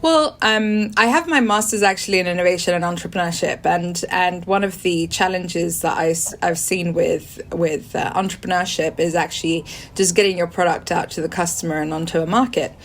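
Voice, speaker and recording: A Swedish-born woman in her forties whose accent is British, speaking in a somewhat low voice. She answers interview questions in long, meandering turns, often stopping to restart with an um or uh. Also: a little fast, few pauses, a little hiss or hum. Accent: British English. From Sweden. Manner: hesitant, many ums and restarts. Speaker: guest.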